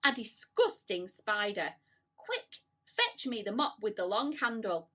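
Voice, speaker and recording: A woman's voice: mid-range voice, very animated, unhurried, fairly bright tone. A woman voicing a horrified, bossy aunt in a storybook, crying out in disgust. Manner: exclaiming, disgusted.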